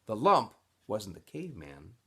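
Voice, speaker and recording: A man's voice: fairly low pitch, unhurried, husky. A man reading a children's novel aloud expressively, like a teacher reading to students. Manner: expressive, switches into character voices.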